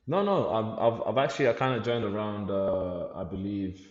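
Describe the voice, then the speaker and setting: A man's voice: lowish voice, moderate pace, roomy sound, long reverb tail, highs cut off. A man from Lithuania, a guest on a podcast, talking in an easygoing, reflective way and repeating words as he searches for them. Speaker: podcast guest, life coach and youth worker. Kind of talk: casual podcast conversation.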